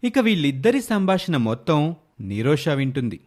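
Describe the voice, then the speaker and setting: A male storyteller narrates a moral tale from a script. His voice sits a little higher than most men's. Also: sing-song, normal speed, darkish tone.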